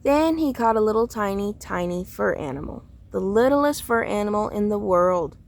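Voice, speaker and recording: A woman reading a children's story aloud in a deliberate, expressive storytelling way. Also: mid-range voice, gravelly. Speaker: storybook reader.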